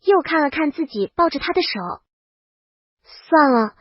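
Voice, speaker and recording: A woman with a notably high voice narrates a scripted story in the first person. The recording is very dry and somewhat dull, like a lo-fi voice track. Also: lively intonation, moderate pace, dry recording. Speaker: audiobook narrator.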